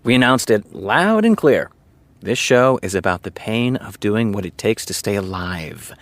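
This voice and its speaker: An actor playing a smug, self-assured TV showrunner in an audio drama, speaking in a pompous, deliberate, lecturing way. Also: male voice, on the low side, expressive. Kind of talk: naturalistic audio drama acting.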